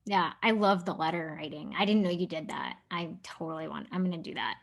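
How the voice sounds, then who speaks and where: A woman's voice: lowish voice, fairly quick, vocal fry. A woman in her late thirties co-hosting a podcast, speaking candidly and informally as she reflects on herself. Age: late 30s. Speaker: podcast co-host being interviewed. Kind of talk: casual podcast chat.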